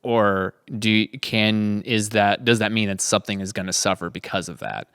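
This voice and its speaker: Low, smooth-voiced man hosting, dry sound. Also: moderate pace, fairly bright tone, no room sound. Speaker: host of a production log podcast. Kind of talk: casual conversational hosting.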